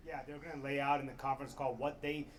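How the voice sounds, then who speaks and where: A man's voice: mid-range voice, flat delivery, fast. A man delivering a live television news report as a correspondent. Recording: faint ambient noise, live TV report.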